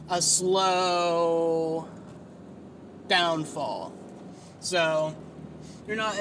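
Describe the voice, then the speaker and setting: Guy with a whiny nasally voice rambling slow on his podcast, kinda high pitched, cusses a lot. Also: background noise. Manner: rambling, self-deprecating, swears freely. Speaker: podcast host. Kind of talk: casual solo podcast monologue.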